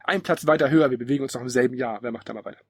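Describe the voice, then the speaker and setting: A man's voice: medium pitch, very fast, darkish tone, no echo, studio quality. A man hosting a casual, critical discussion of retro video games, blunt and opinionated in how he talks. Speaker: podcast host.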